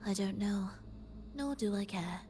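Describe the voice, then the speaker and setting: A woman's voice: medium pitch, a little slow, close to an everyday voice. A woman playing a cool, aloof young woman in a video game, terse, composed and detached. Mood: indifferent. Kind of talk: video game voice acting, story dialogue. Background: game music.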